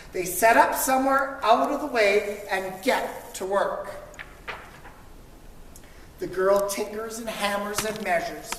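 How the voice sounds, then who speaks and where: A woman reading a children's picture book aloud to school pupils, expressively, with dramatic emphasis and drawn-out words. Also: medium pitch. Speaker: storybook reader for school children. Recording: distant mic, echoey reverberant room, faint noise.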